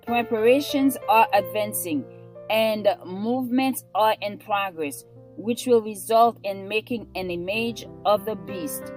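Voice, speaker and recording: Slow-paced woman reading a solemn devotional. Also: medium pitch. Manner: earnest, measured, with emphatic pauses. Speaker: devotional reader. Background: instrumental music.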